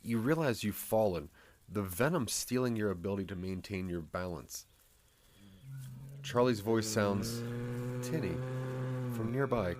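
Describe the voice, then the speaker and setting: Male narrator, fairly deep; suspenseful, measured storytelling for audio drama. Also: darkish tone, slight background noise.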